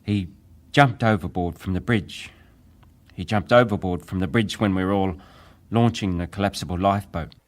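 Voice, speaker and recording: A man acting as a young ship's wireless operator and survivor, giving testimony in a deferential, hesitant, measured way. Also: sits low, natural voice. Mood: grave, restrained. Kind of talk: dramatized reading of hearing testimony.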